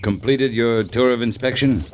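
An actor playing a steady, reserved cavalry captain, polite and laconic, in a 1950s radio drama. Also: male voice, mid-range voice, moderate pace, slight background noise, natural voice. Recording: old radio drama with music.

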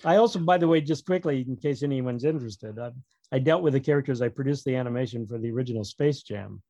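A man speaking casually as an interview guest, in a halting, digressive way with wry humor. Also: mid-range voice, mellow, dry recording, muffled recording. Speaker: guest author and producer.

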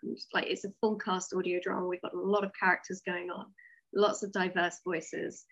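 Husky woman in casual interview chat. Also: on the low side, flat delivery, normal speed, fairly bright tone, highs cut off. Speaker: interview guest, science fiction writer.

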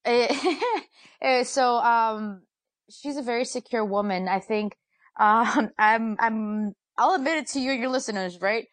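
A woman sports anchor, a guest in a casual interview, who talks fast and animatedly and laughs often. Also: on the high side, no echo.

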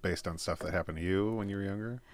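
Man, podcast host, deep voice, laid-back, laughs a lot, rambling questions, dry sound. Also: fairly even intonation, fast, no echo. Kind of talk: casual interview.

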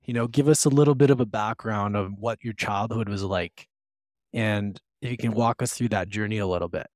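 A man's voice: on the low side, a little fast, a little mellow, no room sound. A man hosting a podcast, asking questions in a loose, rambling way full of fillers. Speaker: podcast host. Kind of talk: interview questions.

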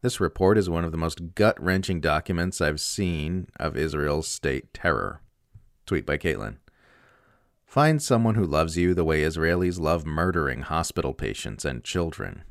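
Male narrator for written commentary, deep register, dry studio-like sound. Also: animated, moderate pace, no echo. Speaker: essay reader. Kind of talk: scripted narration of a political essay.

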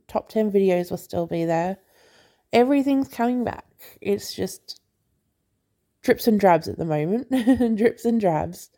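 Woman, podcast movie reviewer, stuffy nose, casual, chatty and profane, warm dark tone. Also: mid-range voice, gravelly. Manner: chatty, laughs often, swears casually.

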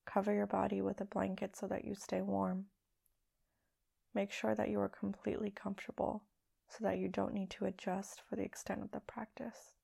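A woman leading a guided meditation as a podcast host, speaking in a hushed, soothing, unhurried way with long pauses. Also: medium pitch, gravelly, breathy edge.

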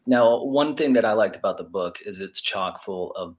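A man's voice: on the low side, moderate pace. A man in his forties hosting a faith interview, speaking emphatically with fillers and restarts. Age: 40s. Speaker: host. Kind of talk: conversational interview.

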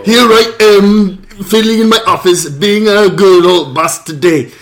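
A man's voice: high voice, unhurried. A man playing a lonely, goofy middle-aged office boss, hesitant and pleading, in improvised comedy roleplay. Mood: idly musing.

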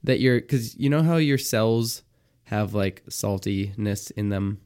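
A man with a raspy voice co-hosting a comedy podcast, chatty and explaining things with lots of fillers. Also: fairly low pitch, slow, a little mellow. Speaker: podcast co-host. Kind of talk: casual comedic chat.

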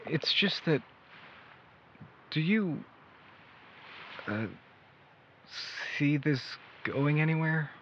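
A man's voice: a little high, animated, extremely slow, pauses often, slight background noise. An actor playing a tender, vulnerable young male artist in an audio drama, speaking earnestly and hesitantly. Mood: hopeful, nervous. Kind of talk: naturalistic audio drama acting. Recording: dull sound, faint ambience.

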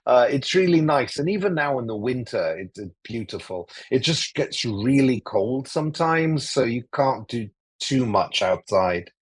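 A man in his forties from Greece talks as a guest on a remote call, rambling easily and filling his pauses. His voice is husky and sits at a middle pitch. The sound is dry and close. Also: no echo. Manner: relaxed, rambling with many fillers and restarts. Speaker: podcast guest, traveller. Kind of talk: casual interview talk. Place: cozy room.

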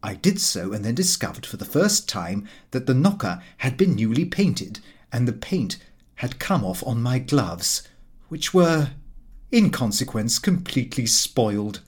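A man's voice: medium pitch, very animated, a little slow, breathy edge. A man narrating a comic diary novel as a scripted audiobook reading.

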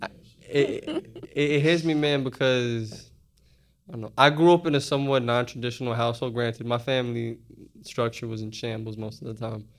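A man's voice: medium pitch, steady tone, moderate pace. A man in his early twenties chatting casually as a podcast host, candid and slangy with frequent swearing. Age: early 20s. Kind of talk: casual conversational interview.

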